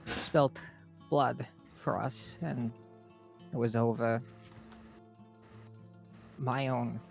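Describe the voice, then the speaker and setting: A man roleplaying in a tabletop game, speaking haltingly with pauses mid-sentence. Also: mid-range voice, fairly even intonation, vocal fry, a little mellow, faint music behind.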